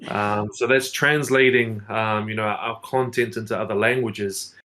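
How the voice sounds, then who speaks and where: A man's voice: on the low side, fairly even intonation, measured pace, bright, forceful tone. Hesitant man with many fillers. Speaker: localization specialist. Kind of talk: casual panel chat.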